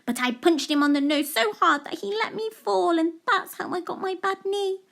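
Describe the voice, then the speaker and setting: A woman's voice: very high voice, moderate pace, gravelly, fairly bright tone, pitched above the performer's own voice, exaggerated voice. A woman voicing a dramatic, boastful little schoolgirl telling tall tales in a breathless, exaggerated way for a storybook. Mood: excited boasting.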